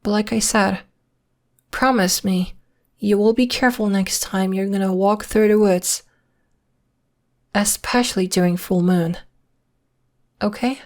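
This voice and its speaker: Slow-talking gentle werewolf girl, acted by a woman. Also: medium pitch, natural voice. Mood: caring, protective. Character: polite, mysterious young female half-werewolf, protective and guarded. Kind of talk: ASMR roleplay, intimate one-sided acting.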